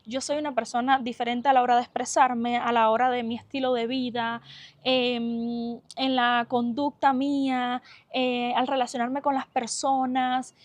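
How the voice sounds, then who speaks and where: A young Cuban woman with a softened Cuban Spanish accent, talking earnestly and casually to her viewers, with fillers and restarts. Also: fairly high pitch, level tone, a little slow, no echo. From Cuba. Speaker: vlogger.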